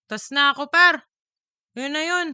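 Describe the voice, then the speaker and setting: A woman voicing a teasing male office buddy with drawn-out, slangy talk in a comic audiobook. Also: high-pitched, bright, forceful tone, no echo, studio quality, heavily acted. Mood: persuasive, joking. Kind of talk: scripted comic audiobook dialogue.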